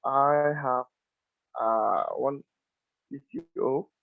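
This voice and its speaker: Non-native guy asking a question on a tinny call, halting broken english, bit of fry in his voice. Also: mid-range voice, no echo. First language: not English. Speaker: training attendee asking a question. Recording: narrow phone-like call line.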